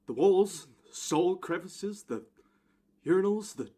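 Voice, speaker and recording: Guy doing a flustered anxious traveler in an audio play, highish voice, swings up and down, halting and pleading. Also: animated, mellow, slightly rough, heavily acted. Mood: panicked, pleading. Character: highly anxious male airline passenger, panicking and pleading. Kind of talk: amateur audio play acting.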